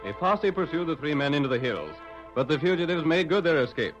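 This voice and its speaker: A man's voice: a little high, lively intonation, a little fast, lightly acted. A man with an American accent narrating an old radio western in dramatic, formal 1940s style. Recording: muffled old radio broadcast with music.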